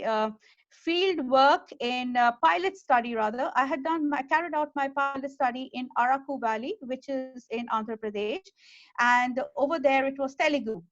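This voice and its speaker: High-voiced Indian woman lecturing online. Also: muffled recording. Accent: Indian English. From West Bengal, India. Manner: fillers and self-corrections, explanatory. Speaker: academic speaker. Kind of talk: webinar presentation. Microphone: video call.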